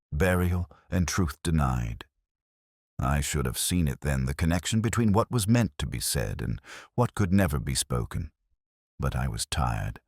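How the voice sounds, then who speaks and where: A man narrating a detective story in the first person, measured and reflective, with a wry edge. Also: very deep voice, no echo, clean studio sound.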